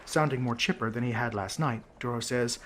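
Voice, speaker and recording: A man's voice: mid-range voice, fairly quick, a bit bright. A man telling a first-person fantasy story in character as a tabletop game player. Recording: crowd ambience and faint noise.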